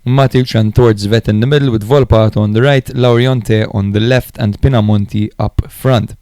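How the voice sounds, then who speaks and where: A man's voice: fairly low pitch, steady tone, normal speed, a little mellow, clear, resonant tone, dry recording, studio quality. A man co-hosting a podcast, recapping and analysing football matches in an informal, chatty way. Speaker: podcast host.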